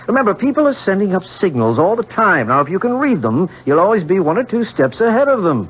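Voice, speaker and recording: Husky, domineering businessman father, muffled broadcast. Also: high voice, very animated, fast, exaggerated voice. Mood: smug, instructive. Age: middle-aged. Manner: lecturing, controlling. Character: ruthless, domineering businessman father, middle-aged. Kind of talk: 1970s radio drama acting. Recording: muffled old radio broadcast.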